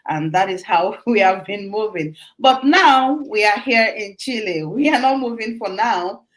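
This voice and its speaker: Middle-aged woman from nigeria chatting as a guest, lively, laughs a lot, keeps restarting words. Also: medium pitch, unhurried. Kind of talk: casual interview talk.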